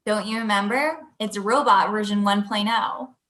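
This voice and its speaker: A woman's voice: medium pitch, moderate pace, fairly bright tone, a little hiss or hum, close to an everyday voice. A young woman playing a tactful, supportive fiancee in a comedy table read over video call. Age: young adult.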